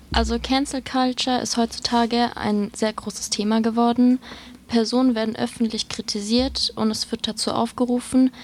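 A nineteen-year-old woman, a student panelist, reading a prepared answer in a clear, steady way. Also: fairly high pitch, a little hiss or hum. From Germany.

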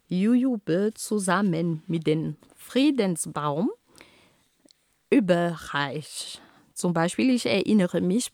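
A woman from Cameroon with a Cameroonian accent, giving a slow, deliberate prepared talk with frequent fillers and self-corrections. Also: lowish voice, darkish tone, dry recording, clean studio sound. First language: Homala. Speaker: speaker on peace and culture.

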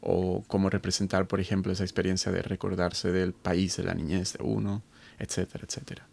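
A man in his thirties, originally from Colombia, with a very low voice and a gravelly fry. He speaks in a thoughtful, halting way, full of fillers and restarts, as he answers an interviewer. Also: flat delivery, a little mellow. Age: 30s. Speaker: guest researcher. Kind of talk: conversational interview.

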